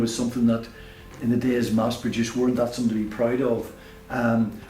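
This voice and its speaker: A man's voice: medium pitch, a little mellow. Hesitant man presenting in an echoey room. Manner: hesitant, halting, unscripted delivery. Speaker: audio shop presenter reviewing products. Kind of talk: informal product review presentation to camera. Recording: echoey room, distant mic, faint noise.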